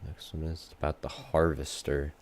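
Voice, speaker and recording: A man giving casual reaction commentary, speaking in a halting, informal, slangy way. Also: rumbling low, little pitch movement, darkish tone, a little hiss or hum. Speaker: reaction video host.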